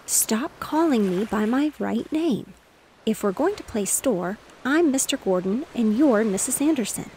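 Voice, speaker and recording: A woman voicing a small boy playing shopkeeper, earnest and bossy, in a soft whispery storybook reading. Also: fairly high pitch, animated, measured pace, slight background noise.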